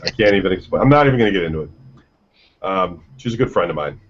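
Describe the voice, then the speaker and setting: A man with a lowish voice hosts a gaming news show, reading stories and then riffing on them with swearing and jokes. The audio sounds dull and lo-fi, like a home podcast recording. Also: expressive, fast, slight background noise. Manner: reads headlines then jokes, swears freely. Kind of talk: casual news chat.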